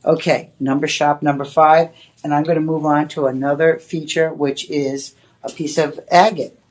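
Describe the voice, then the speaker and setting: A woman's voice: deep voice, steady tone, normal speed, darkish tone. Boston-accented woman, shopping channel host, loose chatty on-air patter. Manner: chatty, rambling, with many "you know"s. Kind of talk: live on-air sales pitch. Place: TV shopping studio.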